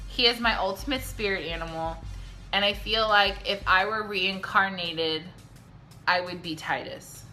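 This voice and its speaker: Bright, brash Californian woman, tipsy. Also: medium pitch, poor audio. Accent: Californian American. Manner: brash, sweary, slangy, dramatic emphasis. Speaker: YouTube vlogger. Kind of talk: casual storytime Q&A.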